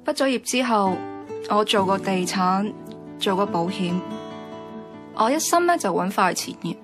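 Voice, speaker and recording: A woman's voice: medium pitch, expressive, unhurried, lightly acted. Frank Hong Kong woman over music, an actress's role. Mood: rueful. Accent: Hong Kong Cantonese. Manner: frank, colloquial. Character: frank, idealistic young woman, burnt by money and marriage. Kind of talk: conversational radio drama dialogue.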